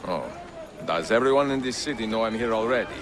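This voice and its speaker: An actor playing a composed, dry-witted Italian master assassin and mentor, with an Italian accent and a measured, courteous manner, in a video game. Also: male voice, on the low side, expressive, crowd noise, background noise, natural voice. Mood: curious. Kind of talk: video game character acting. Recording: loud music behind the voice.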